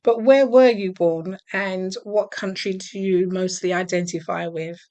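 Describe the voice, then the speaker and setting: A woman hosts an interview and puts her questions in a measured, courteous way. Her voice is smooth and resonant, at a middle pitch. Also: fairly even intonation, a little mellow. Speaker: interview host.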